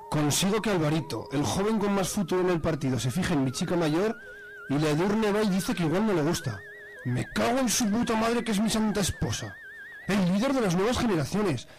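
An irate middle-aged Spanish father in a radio soap voiced by a man swears with indignation. His voice is high and his delivery quick, with an accent from Spain. Faint music sits behind him. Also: low-quality recording. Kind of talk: amateur radio soap acting.

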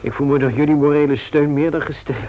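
An actor playing a nervous, shy bachelor who talks haltingly in a radio play. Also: male voice, fairly high pitch, steady tone, phone-quality sound, natural voice. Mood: nervous, grateful. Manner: hesitant, halting. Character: nervous, shy bachelor friend heading to a blind date.

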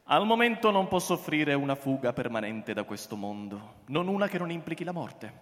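A man's voice: fairly high pitch, very animated, a little fast, a bit bright. An actor playing an eccentric, bitter old man who sells illegal escapes, speaking formally and theatrically in a radio drama. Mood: persuasive. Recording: long hall reverb, music behind.

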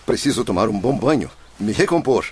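An actor playing an impatient, vain gentleman spirit in a radio drama, eager and theatrical with affected politeness. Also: fairly low pitch, expressive, brisk, slight background noise, close to an everyday voice. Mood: relieved, excited.

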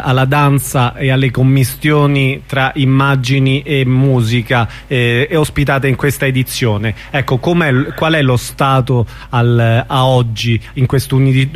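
A man's voice: mid-range voice, fairly even intonation, a little slow, hardly any pauses. A man, hosting a radio interview and asking long, rambling questions with restarts. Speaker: radio host. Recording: radio broadcast.

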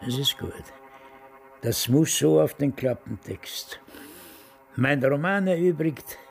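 Radio drama role: very old would-be novelist, husky and creaky, pompous, nostalgic, rambling. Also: male voice, on the high side, slow, soft background music, exaggerated voice. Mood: self-satisfied. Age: older. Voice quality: husky, gravelly. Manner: pompous, rambling, repeats phrases. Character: stubborn, nostalgic very old Austrian man, self-important would-be novelist.

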